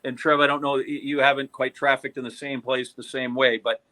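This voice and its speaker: A middle-aged or older American man reminiscing casually, in a halting, rambling conversational way. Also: medium pitch, flat delivery, bright, forceful tone.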